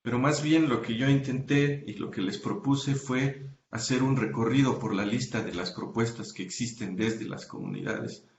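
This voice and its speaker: A man being interviewed as a researcher, explaining his academic work carefully, pausing often with "eh" and correcting himself mid-sentence. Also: mid-range voice, roomy sound, dull audio. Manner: thoughtful, many hesitations and self-corrections. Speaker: guest researcher.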